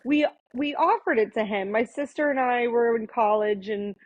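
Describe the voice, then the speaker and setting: A woman in her thirties, a lawyer guest on a podcast, talking reflectively in a relaxed conversational way with many fillers and restarts. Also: fairly high pitch, normal speed, dry recording, dull audio. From born in Thailand, raised in Florida and India. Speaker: guest, attorney.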